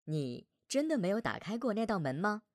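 A man's voice: sits high, sing-song, a little fast, no echo, clean studio sound, voice pushed up. Man voicing a solemn, lilting heavenly mother. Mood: questioning, suspicious. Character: gentle but stern Virgin Mary, heavenly foster mother.

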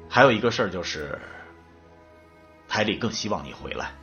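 A man's voice: lowish voice, animated, moderate pace, pushed and bright, muffled recording, soft background music. An actor playing a composed male official in an audio drama. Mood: solemn, kind. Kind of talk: Chinese audio drama acting.

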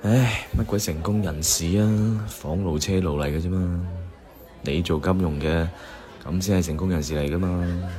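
Cantonese radio drama: burdened, sardonic businessman played by a man, low-pitched voice, weary delivery. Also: normal speed, dark tone, a faint crowd, close to an everyday voice. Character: male struggling company boss, burdened, sardonic old classmate.

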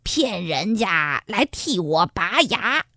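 A woman's voice: medium pitch, sing-song, pushed and bright, no echo, studio quality, voice pushed down, heavily acted. Woman voicing a sly, whining old wolf. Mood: scheming. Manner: fake sobbing and wheedling, drawn-out words.

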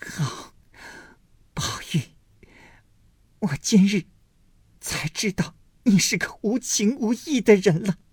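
A man voicing a frail young noblewoman who pleads through tears in a high voice. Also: expressive, slow, pauses often, darkish tone, breathy edge, no room sound, clean studio sound, exaggerated voice. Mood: heartbroken. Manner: high pleading voice, sobbing appeals.

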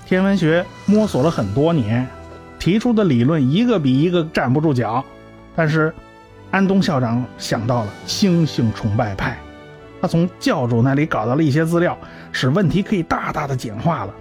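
Give it as a man playing a learned scientist who explains everything: keep your voice a little high and very animated, lecturing with soft music beneath. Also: moderate pace, close to an everyday voice. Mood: explaining. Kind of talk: amateur radio drama. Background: faint music.

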